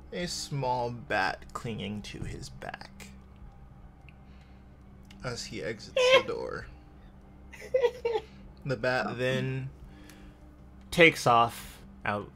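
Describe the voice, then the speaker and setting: A man's voice: mid-range voice, vocal fry, sharp tone. A man narrating scenes and characters' actions as a narrator in a tabletop roleplay game.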